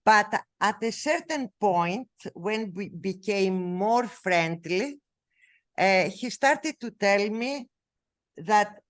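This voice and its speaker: A woman's voice: mid-range voice, animated, slow pace. A woman from Greece with a Greek accent, giving an academic lecture in English, her second language, with many fillers and self-corrections. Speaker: researcher giving a talk.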